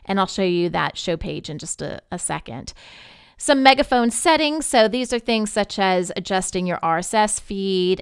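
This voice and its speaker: A woman with a smooth, clear voice talks listeners through a software walkthrough. Her delivery is conversational and easygoing, explaining each step informally, and the sound is dry and close with very little room. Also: fairly low pitch, no room sound. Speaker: podcast network representative giving a software tutorial. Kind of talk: informal screen-share tutorial walkthrough.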